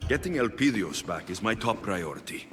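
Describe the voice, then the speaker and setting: An actor playing a gruff, determined mercenary hero in a video game, blunt and intense. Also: male voice, on the low side, sing-song, normal speed, fairly bright tone, a little hiss or hum. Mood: impatient, resolute. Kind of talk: video game cutscene acting.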